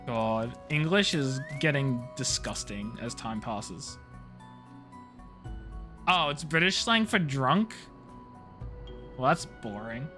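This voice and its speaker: A man's voice: medium pitch, animated, takes it slowly, long pauses, pushed and bright, soft background music. A man live streaming a video game, talking casually and jokily in slang with playful mock outrage. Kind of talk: casual chatty game commentary.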